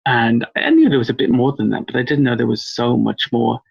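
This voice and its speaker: A middle-aged man from Wales talks at length in a rambling, enthusiastic way, full of ums and asides. His voice is gravelly and a little husky. The audio is dull and lo-fi. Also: mid-range voice, a little fast. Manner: rambling, enthusiastic, many fillers. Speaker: cryptozoology author. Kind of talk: interview answers.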